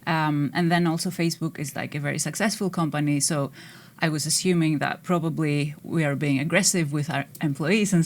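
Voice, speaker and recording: A woman speaks in a lowish voice as an engineering manager on a panel. She answers thoughtfully, pausing often with small fillers as she gathers her words. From Greece. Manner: thoughtful, with frequent hesitations.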